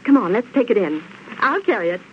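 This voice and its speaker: Chummy excited housewife in an old radio ad, muffled and hissy. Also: female voice, a little high, fairly quick. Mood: chirpy enthusiasm. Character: chirpy, chummy housewife in a sponsor playlet. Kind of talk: 1930s radio advertisement acting. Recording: dull, noisy old radio recording.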